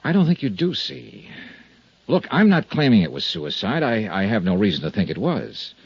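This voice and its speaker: An American actor playing a shrewd, tough insurance investigator in a 1950s radio drama, with a clipped, probing, coolly polite delivery. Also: male voice, medium pitch, big pitch swings, moderate pace, creaky, slightly rough, no room sound, full character voice. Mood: firm. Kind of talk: 1950s radio detective acting, narration and dialogue. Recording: muffled 1950s radio recording.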